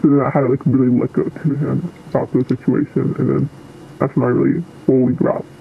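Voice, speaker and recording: Hesitant teen on a tinny line. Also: male voice, medium pitch, little pitch movement, brisk, creaky. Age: teens. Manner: hesitant, soft-spoken, many ums and likes. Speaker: interviewee. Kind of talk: remote interview answers. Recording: tinny phone-like line with faint noise.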